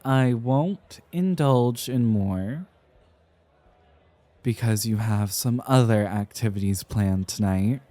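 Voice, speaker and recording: Man acting as young male architect, anxious rambler, earnest and dramatic, unhurried pace, careful enunciation, dry close-up ASMR roleplay. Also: fairly low pitch, darkish tone, no echo. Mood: playful, tipsy. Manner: enunciated, theatrical, hesitant rambling. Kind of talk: ASMR roleplay, speaking to the listener.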